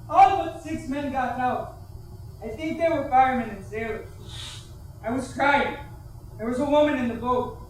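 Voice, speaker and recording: A man's voice: extremely high pitch, fairly bright tone. A man on stage playing a young steerage survivor, giving an earnest monologue. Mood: distressed. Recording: very echoey distant stage, faint noise.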